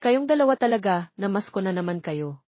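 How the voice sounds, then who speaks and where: A woman's voice: medium pitch, big pitch swings, brisk, no room sound, highs cut off. A woman voicing a caring young mother in an audio drama, fondly chiding and a little fretful. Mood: fond scolding. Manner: fond, mildly fretful, chiding. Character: caring young mother, gently scolding her twin sons.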